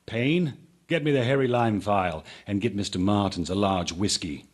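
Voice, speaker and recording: An actor playing a stern, exasperated military police major in a radio drama, scolding with authority. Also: male voice, on the low side, normal speed, natural voice. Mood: commanding.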